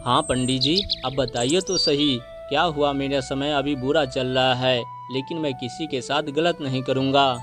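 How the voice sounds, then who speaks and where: A man's voice: mid-range voice, steady tone, moderate pace, hardly any pauses, over music, lightly acted. A man voicing a humble, honest, poor younger brother in a moral story, earnest and polite. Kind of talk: animated moral story dialogue.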